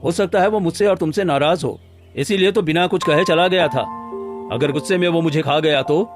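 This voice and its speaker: A man playing a gentle, anxious village woodcutter in a cartoon story, speaking earnestly and hesitantly. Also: fairly high pitch, a little fast, lightly acted. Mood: worried. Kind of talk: scripted Hindi cartoon story dialogue. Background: background music.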